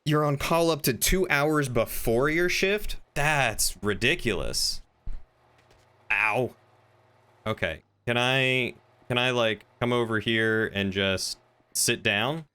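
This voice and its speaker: A man talks casually while livestreaming game roleplay. His voice is crisp and forward, with a bright edge at an ordinary pitch. He rambles along, thinking out loud and reading out chat. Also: takes it slowly.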